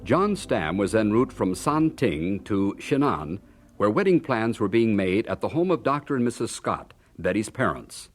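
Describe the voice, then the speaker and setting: An American man narrating a radio drama in a formal, measured, solemn announcer's style. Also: lowish voice, very animated. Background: organ music.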